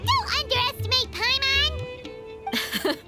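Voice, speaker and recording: Tiny fairy guide, extremely high childlike squeak, swooping intonation, indignant, emphatic, video game, loud music. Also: female voice, very animated, takes it slowly, heavily acted. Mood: indignant, proud. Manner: speaks of self in third person, emphatic. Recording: prominent game music underneath.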